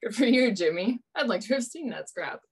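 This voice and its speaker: A woman's voice: fairly high pitch, animated, fairly quick, slightly rough. A woman playing a brash, wry young male adventurer in a radio play, with a dry, teasing manner. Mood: teasing, impatient.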